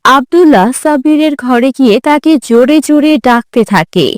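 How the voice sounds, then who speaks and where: A woman's voice: high-pitched, lively intonation, normal speed, no room sound, clean studio sound. A woman reading scripted narration for a children's moral story.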